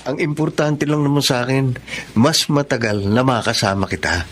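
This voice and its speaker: A man's voice: mid-range voice, normal speed, lightly acted. A man acting an eager, persistent suitor in a Tagalog radio drama, coaxing and earnest. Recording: faint noise and scene ambience.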